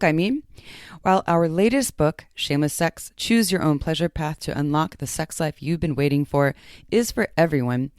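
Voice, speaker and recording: Playful woman podcast host, crisp voice. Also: deep voice, darkish tone, no echo, clean studio sound. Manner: playful, informal. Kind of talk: upbeat promotional reading and casual podcast chat.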